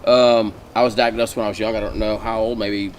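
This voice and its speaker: A man from the American South introducing himself in halting speech. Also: lowish voice, flat delivery, a little hiss or hum. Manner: halting, repeats words. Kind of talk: casual group chat.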